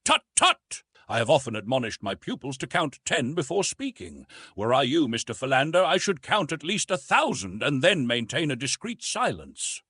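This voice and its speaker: A man's voice: mid-range voice, huge pitch swings, moderate pace, bright, forceful tone, husky, no echo, clean studio sound, pitched above the performer's own voice, heavily acted. A man voicing a pompous, pedantic elderly professor who scolds in an adventure audiobook. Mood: scolding. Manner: pedantic, admonishing.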